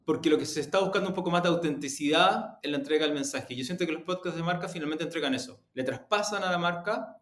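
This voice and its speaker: Echoey, higher-pitched Chilean man explaining. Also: fast talker, few pauses, a bit bright. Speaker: interview guest, audio production executive. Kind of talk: interview answers, explanatory. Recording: roomy, echoey sound.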